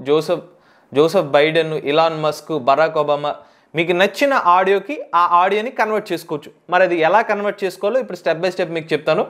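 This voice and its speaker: A man with a Telugu accent hosting a step-by-step software tutorial, instructional and mixing in English tech terms. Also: high voice. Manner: instructional, mixes English tech words into speech.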